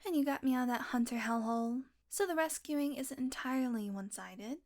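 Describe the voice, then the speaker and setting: Woman doing a teasing vampire girl for audio roleplay, highish voice, snarky and sweary, kinda soft on you. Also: a little fast, natural voice. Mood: wry, musing. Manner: snarky, sweary, teasing, often dropping to a murmur. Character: sardonic, teasing, predatory female vampire with a soft spot. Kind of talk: audio roleplay acting, intimate monologue to a listener.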